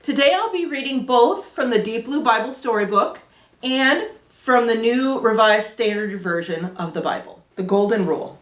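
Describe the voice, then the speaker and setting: A woman's voice: a little high, lively intonation, normal speed, fairly bright tone. A woman hosting a children's Sunday school storytime, explaining things simply in a teacherly way with rhetorical questions. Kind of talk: explaining simply to children, with Bible reading and interviewing. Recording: roomy echo, distant from microphone.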